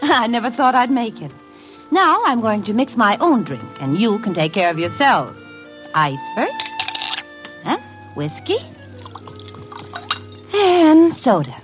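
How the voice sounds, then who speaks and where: A woman's voice: fairly high pitch, very animated, takes it slowly, long pauses, music in the background. A woman playing a breezy, catty society hostess, languid and arch, in 1950s radio drama style.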